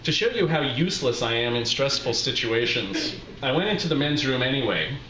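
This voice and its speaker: A middle-aged man reading a humorous personal story aloud at a live event, with a wry, deliberate storytelling delivery. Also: medium pitch, animated, bright, forceful tone. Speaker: author reading his memoir aloud. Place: small bar with brick walls, live reading. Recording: roomy live bar recording, faint background noise.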